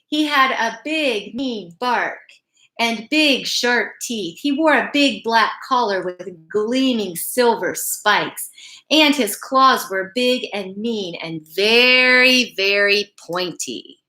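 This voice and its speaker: A woman reads a children's picture book aloud in a bright, fairly high voice. Her delivery is unhurried and very expressive, leaning on key words and speaking directly to young listeners. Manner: deliberate, emphatic phrasing, addresses young listeners. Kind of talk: expressive read-aloud bedtime story with asides.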